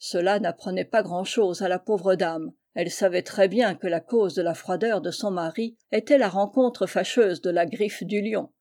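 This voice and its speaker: Female audiobook narrator, mellow mid-range voice, measured literary storytelling of historical material. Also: mid-range voice. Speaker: audiobook reader.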